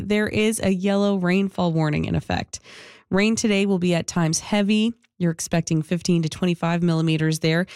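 A woman's voice: on the low side, darkish tone, no echo, studio quality. A Canadian woman hosting a morning radio show, slightly sniffly from a cold, reading from a script. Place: radio studio.

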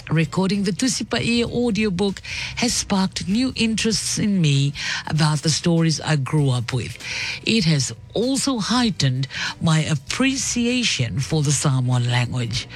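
Morning radio host, mid-pitched, chatty, upbeat, faint music behind. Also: lively intonation, measured pace. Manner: upbeat and chatty, breaks off reading to comment. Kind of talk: Sunday morning radio show, reading a news story aloud with asides. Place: radio studio.

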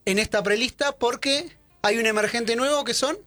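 High-voiced man explaining football data. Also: moderate pace, bright, forceful tone, no echo, soft background music. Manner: explanatory, lists facts and names. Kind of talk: casual sports talk.

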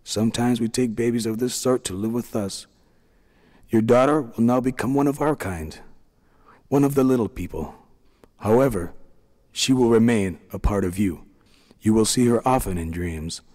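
A man voicing a kind, tiny middle-aged leader of the little people, speaking gently and soothingly. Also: mid-range voice, normal speed, pauses often, big-room sound, close to an everyday voice. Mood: tender.

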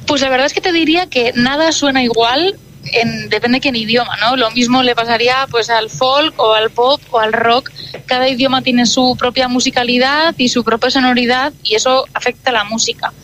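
A Basque-native woman, a jazz singer, answering interview questions in a relaxed, thoughtful way with many filler words. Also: a little high, moderate pace, a little hiss or hum, poor audio.

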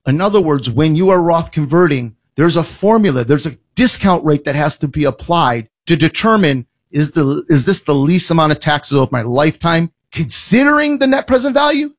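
High-pitched emphatic male radio host. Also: animated. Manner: emphatic, blunt, rapid listing. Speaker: financial advisor radio host. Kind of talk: radio financial advice talk.

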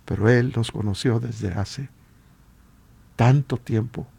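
A man with a Latin American Spanish accent and a husky voice, preaching informally and emphatically with repeated rhetorical questions. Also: mid-range voice, takes it slowly, warm tone. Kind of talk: informal exhortation sermon.

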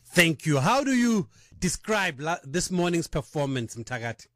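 A man hosting a radio sports show, talking in a lively way and laughing easily with his guest. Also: a little high, moderate pace, no room sound, clean studio sound. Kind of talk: radio interview.

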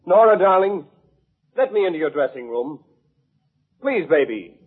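A man's voice: high-pitched, moderate pace, reverberant, narrow, tinny audio, soft background music, natural voice. An actor playing an earnest, lovelorn leading man given to melodrama, in an old-time radio comedy. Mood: pleading, worried. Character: lovelorn, overwrought young leading man of amateur theatre. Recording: thin, band-limited old radio recording.

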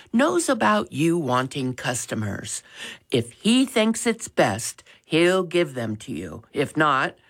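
A woman reads a patient father's lines in a low voice, close to her own. He speaks gently and encouragingly. The delivery is slow and full of lilt. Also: breathy edge, heavily acted.

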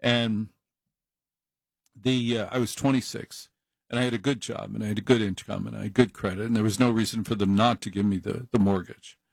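A man's voice: fairly low pitch, fairly even intonation, a little fast, creaky, husky, no room sound. Chatty late-night radio host man. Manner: rambling, chatty, self-deprecating. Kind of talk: casual monologue on call-in radio. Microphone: studio microphone.

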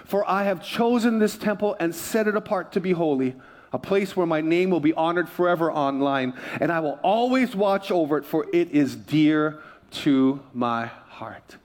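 A man's voice: a little high, normal speed. A man from Hawaii preaching a sermon as a pastor, repeating key phrases for emphasis and calling for response. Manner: emphatic repetition, calls for congregational response.

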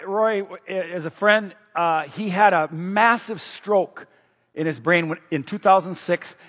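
High-voiced husky preacher in a hall. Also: male voice, bright, forceful tone. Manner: conversational, asks the congregation questions. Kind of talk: sermon. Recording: dull, reverberant hall sound.

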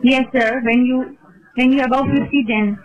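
A woman telemarketer on a phone call, speaking haltingly and saying "sir" again and again. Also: high voice, steady tone. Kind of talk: scripted sales phone call. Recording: dull lo-fi phone line.

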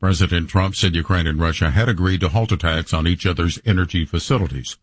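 A man anchoring a radio newscast, reading in a measured, formal manner. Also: deep voice, slightly rough, dry recording. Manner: measured, formal news delivery. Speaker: news anchor.

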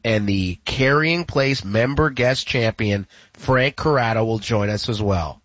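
A man's voice: mid-range voice, unhurried, fairly bright tone, no room sound, lo-fi sound. A man hosting a sports radio show, talking casually and jokingly with a congested, stuffy voice. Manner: playful, jokey. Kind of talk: casual radio chat with sponsor reads.

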